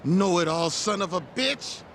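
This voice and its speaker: An actor playing a brash, hot-headed young male gang thug in a video game, loud, cocky and slangy. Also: sits high, lively intonation, a little slow, slight background noise. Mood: angry blustering. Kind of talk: video game cutscene acting. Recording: music behind the voice.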